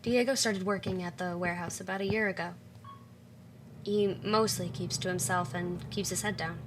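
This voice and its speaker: An actress playing a considerate, protective woman, a loyal friend speaking gently and carefully in an audio drama. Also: lowish voice, fairly even intonation, moderate pace, heavy background noise, lightly acted.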